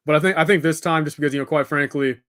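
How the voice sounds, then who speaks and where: A man's voice: a little high, fairly even intonation, rapid-fire, fairly bright tone, no room sound. A young man talks casually to his livestream viewers. His delivery is unscripted and wandering, sprinkled with informal address like dude and man. The sound is dry, with very little room to it. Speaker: live streamer hosting a building stream.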